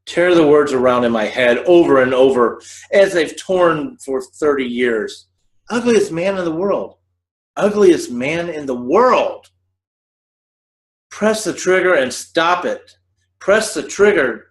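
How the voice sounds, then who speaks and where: A man's voice: on the high side, expressive, slow, reverberant, pitched above the performer's own voice. Man doing a tormented man's racing thoughts. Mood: tense. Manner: tense inner monologue, urgent, repetitive. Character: tormented disfigured man near suicide. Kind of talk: radio drama reading.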